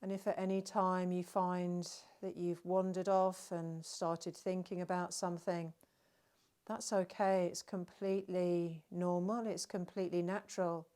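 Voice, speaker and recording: A woman guides a relaxation session in a gentle, soothing manner. Her voice sits a little low and stays very even, moving very slowly with long pauses between short phrases. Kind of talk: guided meditation narration.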